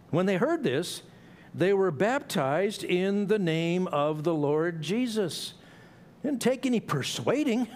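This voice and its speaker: A middle-aged man preaching an expository sermon in a church, explaining a passage in a conversational way with asides and small restarts. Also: a little high. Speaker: pastor. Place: church sanctuary.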